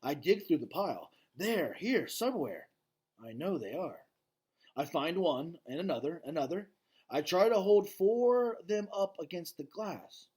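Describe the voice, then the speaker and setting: A man's voice: fairly high pitch, expressive, a little slow, darkish tone, slightly rough, pitched above the performer's own voice. A man voicing an anxious, earnest gorilla with hopes for his painting, in a children's novel read aloud. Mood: urgent.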